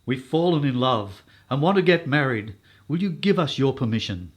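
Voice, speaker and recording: A man's voice: mid-range voice, animated, moderate pace, close to an everyday voice. A man playing an eager, polite older suitor in a comic radio soap, earnest and ingratiating. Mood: lovestruck.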